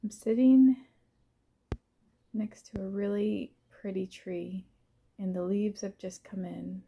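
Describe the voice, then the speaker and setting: A woman speaks very slowly in a soft, relaxed voice with frequent creak. She guides a calming visualization in short, gentle phrases, leaving space between them. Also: mid-range voice. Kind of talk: slow, soothing first-person guided visualization.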